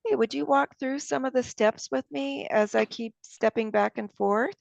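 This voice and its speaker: Brief: woman cooking instructor, gravelly tone, dry lo-fi sound, explains steps as she goes. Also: fairly high pitch, normal speed, no room sound. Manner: friendly, explains each step aloud while working. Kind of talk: step-by-step cooking demonstration. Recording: dull lo-fi sound.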